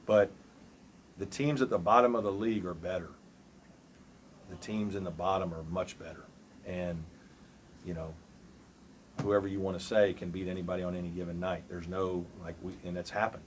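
A man in his forties, a college basketball coach, answering questions at a postgame press conference in a measured, thoughtful way with many fillers. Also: sits low, fairly even intonation, slight background noise. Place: press room before a backdrop. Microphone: table mic on a stand.

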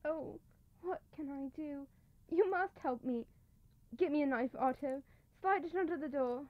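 Woman as a high-voiced, desperate young maiden. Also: a little slow, no room sound. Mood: frightened, pleading. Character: desperate captive young maiden, frightened but brave. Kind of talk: old-fashioned radio drama acting. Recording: dull, muffled lo-fi sound.

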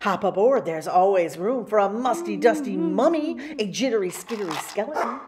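A woman reading a picture book aloud as a story-time host, with theatrical, animated delivery. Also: mid-range voice, brisk, crowd noise. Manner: theatrical, with exclamations and spooky sound effects. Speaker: library story-time reader.

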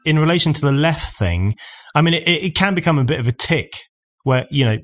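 A man's voice: a little high, expressive, a little fast, a bit bright, soft background music. A man in his forties, hosting a podcast in a studio, building long, earnest arguments at a condenser mic. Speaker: podcast host. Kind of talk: conversational podcast discussion. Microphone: studio condenser mic on stand.

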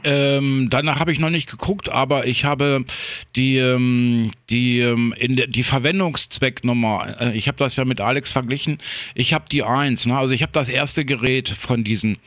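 A man with a medium-pitched voice talks casually as a ham radio operator. His delivery is halting, with repeated words, and the sound is dry and close. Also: steady tone, fairly bright tone, dry recording. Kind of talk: casual unscripted radio chat.

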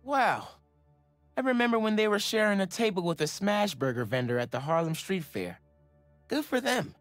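An actor playing an upbeat, earnest teenage superhero from New York with an American accent, chatting casually in a video game. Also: male voice, high voice, big pitch swings, moderate pace, a bit bright, soft background music. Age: young adult. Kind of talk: game voice acting, casual reflection.

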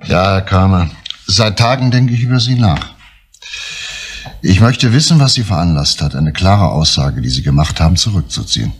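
A husky, low-voiced police inspector. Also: male voice, expressive. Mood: earnest, insistent. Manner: deliberate, insistent, persuasive. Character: composed, earnest police inspector under suspicion. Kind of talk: German crime radio drama acting.

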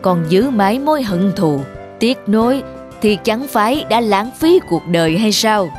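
A woman voicing a kindly, calm older male antique collector in a moral folk-tale cartoon, measured and gently instructive. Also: mid-range voice, over music, close to an everyday voice. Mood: serene, philosophical.

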